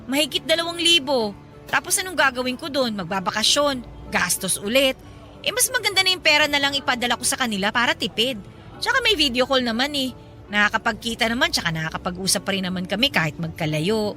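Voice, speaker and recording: Bright, high-voiced practical young woman. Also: expressive, fast talker. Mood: firm, dismissive. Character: practical, guarded young provincial working woman in Manila. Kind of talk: Tagalog radio drama acting. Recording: music behind the voice.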